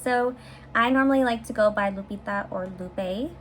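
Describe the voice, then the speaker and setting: A woman's voice: a little high, moderate pace. A woman in her twenties from San Francisco, chatting upbeat and casually as she answers viewer questions in her own vlog, with some fillers and restarts. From San Francisco, USA. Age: 20s. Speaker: vlogger. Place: her bedroom, heater running. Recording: faint heater hum in room.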